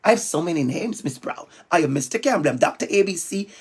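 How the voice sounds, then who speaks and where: A man's voice: fairly high pitch, lively intonation, quick pace, slightly rough. A man with a Jamaican accent, from Jamaica, giving a playful, emphatic talk to young students as a school guest speaker. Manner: playful, emphatic, calls out to the kids.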